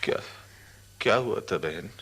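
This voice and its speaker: An actor playing a simple, soft-hearted younger elephant handler in a radio drama, with halting, earnest, pleading speech. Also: male voice, low-pitched, lively intonation, slightly rough, heavily acted. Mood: hushed, sympathetic. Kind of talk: Hindi radio drama acting.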